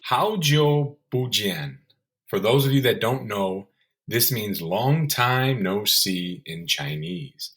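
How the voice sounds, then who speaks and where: A man's voice: lowish voice, animated, takes it slowly, a bit bright. A man presenting a podcast in a scripted narration style, as its host.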